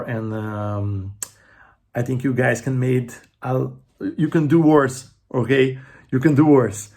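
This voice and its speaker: A man's voice: mid-range voice, gravelly, a little mellow. A man from Brazil with a Brazilian Portuguese accent, speaking English as a second language, hesitant and full of fillers as a guest giving inside info on a player. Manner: hesitant, many fillers and restarts, repeats "okay". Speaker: guest club channel presenter. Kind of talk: casual recorded message.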